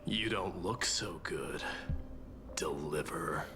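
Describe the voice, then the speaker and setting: A man's voice: low voice, slow pace, noisy background. A man voicing a proud, scornful demigod warrior king in a dramatic video game, speaking in a grand, solemn and taunting way. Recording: loud music under the voice. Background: game music.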